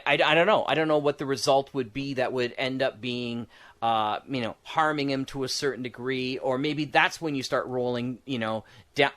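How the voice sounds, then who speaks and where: A man's voice: mid-range voice, a bit bright. A man chatting casually about game design as a podcast co-host, rambling and thinking aloud as he goes.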